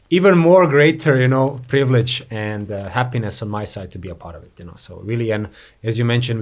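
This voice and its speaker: Rambling man from Croatia, says "you know". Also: on the low side. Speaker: podcast guest, entrepreneur. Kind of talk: casual interview chat.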